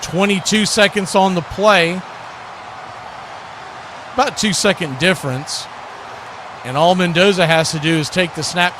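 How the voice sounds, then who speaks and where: A man's voice: high voice, background noise. A man calling American football live as a play-by-play commentator. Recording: loud crowd roar and music behind.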